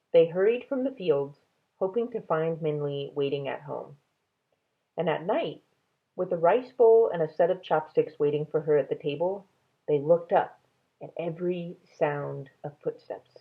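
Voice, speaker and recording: A woman reading a children's novel aloud as a storyteller. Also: low voice. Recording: band-limited, tinny sound.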